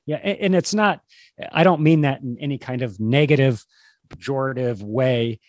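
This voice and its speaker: A man co-hosting a podcast in casual conversation, a bit congested from a cold, speaking in an earnest, halting, wordy way. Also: medium pitch, a little mellow, dry recording, studio quality. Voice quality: slightly stuffy, congested. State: struggling with a cold. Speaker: podcast co-host. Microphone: video call.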